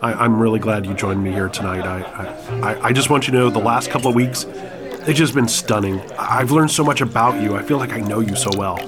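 A man playing a nervous young boyfriend in a short comic audio drama, stammering softly. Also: on the low side, fairly quick, music in the background, natural voice. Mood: earnest. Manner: stammering, soft-spoken.

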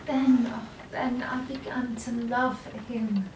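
A woman playing an anguished, yearning young sister in an audio play, speaking in a halting, confessional, emotional way. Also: fairly high pitch, little pitch movement. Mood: lovestruck confession. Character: anguished, yearning young sister pouring out despair and forbidden love. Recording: roomy, distant mic, light noise.

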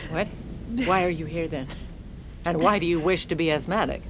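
Woman acting very low-voiced, sardonic widow. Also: normal speed, noisy background, lightly acted. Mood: exasperated. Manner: dry, exasperated, sardonic. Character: witty, dry, exasperated widowed socialite. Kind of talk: comedic audio fiction acting.

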